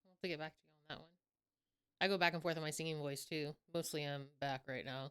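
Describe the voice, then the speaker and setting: Smooth, expressive young woman podcaster. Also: deep voice, fast. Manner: giggly, expressive, with a flowing speaking melody. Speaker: podcast co-host. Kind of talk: casual chat.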